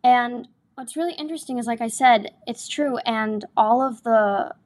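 A woman's voice: on the high side, normal speed, darkish tone. A young adult woman stage actor, a guest in a casual interview, talking earnestly and enthusiastically with frequent restarts.

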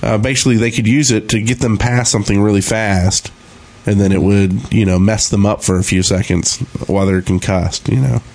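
A man's voice: lowish voice, fairly even intonation, a little fast, darkish tone. A man on a podcast panel, chatting casually and offering his opinions. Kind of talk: casual chat.

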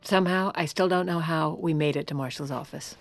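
A woman playing a poised, commanding First Lady in an audio drama, sharp-tongued, motherly and persuasive. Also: sits low, brisk, loud music behind the voice. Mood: wry, dramatic. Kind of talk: audio drama acting with first-person narration.

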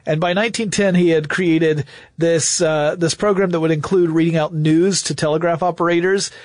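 A man's voice: a little high, steady tone, normal speed, a little mellow, no room sound, studio quality. A man talking as a podcast host, explaining step by step in a casual, enthusiastic storyteller's way.

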